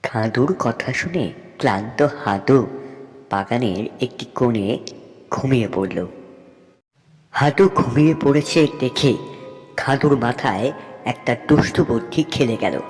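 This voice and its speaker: A man's voice: medium pitch, unhurried, long pauses, a little mellow, soft background music. A man narrating a scripted folktale as a storyteller. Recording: long reverb on the voice.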